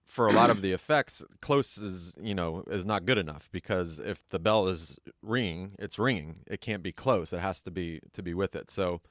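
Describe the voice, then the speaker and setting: A man with a fairly low voice talks on a panel. He explains his production work in a measured, halting way. The recording is dry. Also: fairly bright tone, no echo. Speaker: audio designer on a panel. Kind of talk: conversational panel talk.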